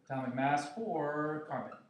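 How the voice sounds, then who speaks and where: A man's voice: fairly high pitch, normal speed, recorded from a distance. A man teaching a chemistry review lesson to his class, playful and joking with students. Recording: echoey classroom with noise.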